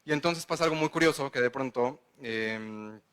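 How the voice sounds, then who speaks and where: A man's voice: medium pitch, moderate pace, a bit bright, clean tone. A man with a Mexican accent giving a conference lecture. He explains step by step and checks in with the audience. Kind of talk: conference lecture answering questions.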